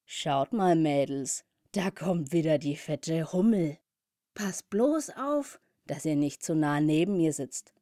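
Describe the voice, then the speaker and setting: A woman's voice: deep voice, animated, takes it slowly, mellow, dry recording, clean studio sound. A woman doing sneering, catty wasp girls. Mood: mocking. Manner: mocking, sneering. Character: group of slim, catty female wasps.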